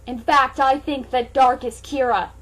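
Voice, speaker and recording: Earnest mustached dad read by a woman, gullible, high voice, dramatic comic storybook delivery. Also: steady tone, unhurried. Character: confused, gullible middle-aged mustached father.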